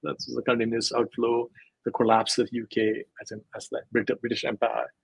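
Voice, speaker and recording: A man's voice: mid-range voice, monotone, normal speed, gravelly, breathy edge, no room sound, poor audio. A man speaking as an interview guest, talking in a digressive, emphatic way with many fillers. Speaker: guest, crypto scientist. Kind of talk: casual interview talk.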